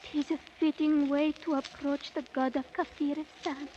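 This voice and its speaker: A humble young village girl played by a woman, with an extremely high voice and formal, reverent phrasing, as if addressing a god. The old radio recording is dull with faint noise. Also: flat delivery, moderate pace, dark tone, natural voice. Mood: timid, reverent. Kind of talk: 1950s radio drama acting.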